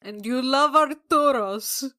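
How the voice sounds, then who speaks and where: A woman with a high voice and an unhurried pace. She improvises casually as a player in a tabletop role-playing game.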